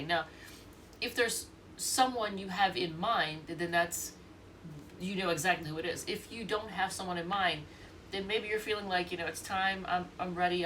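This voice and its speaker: A woman's voice: fairly low pitch, a bit bright, recorded from a distance, slight background noise, low-quality recording. A congested woman with a stuffy nose giving a casual, rambling tarot reading, thinking aloud and restarting often. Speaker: tarot reader.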